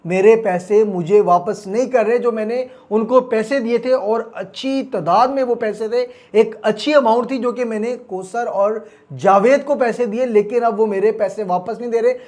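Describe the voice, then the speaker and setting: A man's voice: sits high, a little mellow. A man with a Pakistani accent hosting a crime news report, speaking dramatically and emphatically, repeating key phrases for suspense. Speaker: host of a crime news channel.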